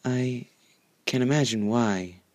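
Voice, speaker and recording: A man's voice: mid-range voice, takes it slowly, dry recording, voice pushed up, natural voice. Casting: fussy, exasperated mare roommate for storybook reading, done by a man, dry sound. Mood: dry, restrained. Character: prim, exasperated female pony roommate, a candy maker.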